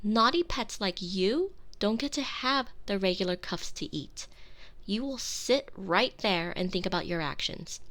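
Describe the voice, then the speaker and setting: A woman performs an obsessive yandere captor for an ASMR roleplay, close on a binaural mic. Her voice sits fairly high and swings widely in pitch. She speaks slowly, with doting, condescending sweetness that carries clear menace. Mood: scolding. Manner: saccharine, slow, affectionate, condescending. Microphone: close binaural microphone.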